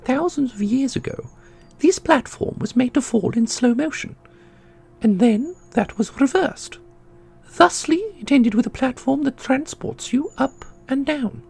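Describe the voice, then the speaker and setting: A man voicing a solemn, knowing keeper of an ancient magical order in a fantasy audio roleplay, speaking in a formal, measured way. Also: very high voice, gravelly, a little mellow, slightly rough, pitched above the performer's own voice, heavily acted. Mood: dry, brisk. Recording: music under the voice.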